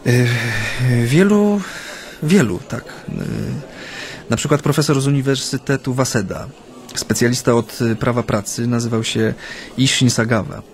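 Male actor as earnest Japanese man, radio play, reflective, halting, mid-pitched voice, recollecting activist days. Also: natural voice. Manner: hesitant, reflective, with frequent hums and pauses. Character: earnest Japanese man recalling his Solidarity-era activism. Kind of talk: radio drama, conversational recollection.

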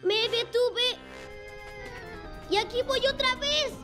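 An eager little boy motorcycle from a children's cartoon, with a very high, childlike voice. The lines are exclamatory and drawn out, over loud music. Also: slow. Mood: excited. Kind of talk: animated children's cartoon dub.

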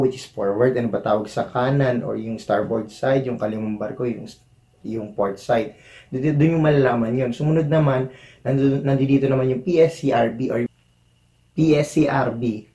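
A man's voice: mid-range voice, warm tone. A man in his thirties with a Filipino accent, giving encouraging career advice to the camera while seated at a desk in a small room. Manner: earnest, encouraging, gestures with hands while talking. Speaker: vlogger, former cruise ship chef. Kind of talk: casual advice vlog to camera. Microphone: camera in front at desk distance.

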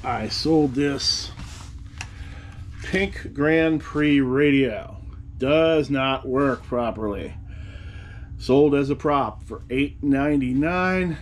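A man's voice: medium pitch, very slow, slight background noise. Wry, chatty man talking at his desk. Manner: chatty and wry, rambling asides. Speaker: eBay reseller vlogger. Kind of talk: casual talk to camera. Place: cluttered room indoors, seated at a desk. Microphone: camera in front of him at the desk.

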